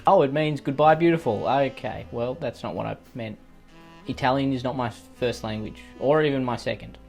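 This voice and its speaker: A man's voice: mid-range voice, normal speed, vocal fry, a little mellow. An Australian man reads online posts in an ordinary mid-range voice and keeps breaking off into sweary, sarcastic tangents. His talk rambles and circles back on itself as he riffs for laughs. A faint guitar plays behind him. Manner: profane, rambling, sarcastic asides. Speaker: YouTuber reading and reacting to online posts. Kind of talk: comedic commentary on read-out posts.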